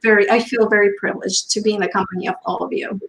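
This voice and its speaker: A woman's voice: mid-range voice, steady tone, fast, a bit bright, a little hiss or hum, rough recording. Gracious woman from Albania. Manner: formal and gracious. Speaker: panelist. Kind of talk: introducing herself on a panel.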